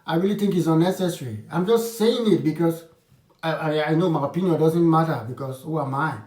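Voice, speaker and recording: A man's voice: a little high, a little fast, a little mellow. A man giving casual advice to his channel's viewers, frank and opinionated, often restarting his sentences. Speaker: vlogger. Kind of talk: casual advice talk to camera. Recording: roomy echo, distant from the mic.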